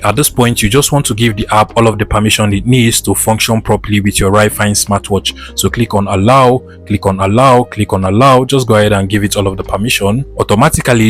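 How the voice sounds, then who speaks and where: Male tutorial presenter, lowish voice, instructional step-by-step delivery over background music. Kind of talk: scripted step-by-step tutorial narration.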